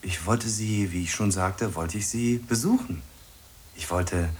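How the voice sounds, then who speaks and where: A lowish, husky-voiced, socially awkward man in a radio drama. He halts, circles back over his own phrases and mutters as if to himself, lonely and fixated. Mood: awkward, pleading. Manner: halting, repeats himself, muttering to himself. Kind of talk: radio play acting.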